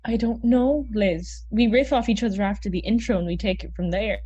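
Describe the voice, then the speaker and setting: A woman playing an uptight, indignant podcast co-host in a comedy audio drama, husky and exasperated. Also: mid-range voice, a little fast, dark tone, dull audio, lightly acted.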